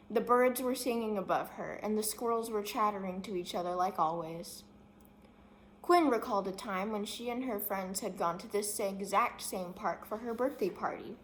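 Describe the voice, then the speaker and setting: A woman narrates a story from a script. Her pitch is medium for a woman, and there is faint noise behind her. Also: low-quality recording. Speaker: story reader.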